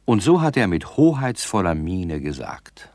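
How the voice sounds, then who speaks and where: An actor narrating a children's radio play as a calm storyteller. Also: male voice, low-pitched, measured pace, dry recording. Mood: calm, amused.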